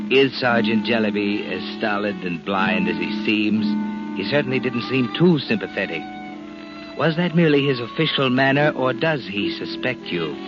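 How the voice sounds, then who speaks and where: A mocking, insinuating narrator of a vintage radio thriller. His voice is fairly low, over prominent music on a muffled old recording. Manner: insinuating, addresses the culprit directly. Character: sinister, mocking all-knowing male mystery narrator. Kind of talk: 1940s radio suspense narration. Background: prominent dramatic music.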